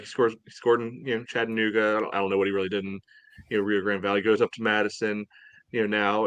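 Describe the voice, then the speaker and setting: Male co-host, lower register, very dry sound, sardonic, halting casual sports banter. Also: a bit bright, dry recording. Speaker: soccer podcast host.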